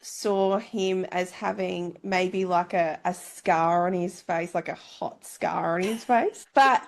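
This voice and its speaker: A woman's voice: fairly low pitch, takes it slowly, vocal fry. Emphatic woman in informal book chat. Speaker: book club podcast co-host. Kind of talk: casual chat about books.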